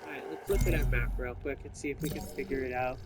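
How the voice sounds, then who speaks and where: A man's voice: mid-range voice, steady tone, fast talker, heavy background noise. A man giving casual gameplay commentary, thinking aloud and explaining each step to viewers. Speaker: video game player and commentator.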